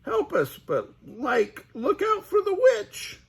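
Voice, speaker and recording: An older man voicing a goofy, cowardly, always-hungry young man in a high, strained falsetto while reading a storybook aloud. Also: huge pitch swings, moderate pace, fairly bright tone, full character voice. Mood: panicked.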